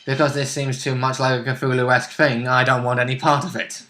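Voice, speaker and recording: A man's voice: medium pitch, flat delivery, a little fast, pushed and bright. A man chatting casually as a player about a tabletop role-playing game, blunt, opinionated and casually sweary.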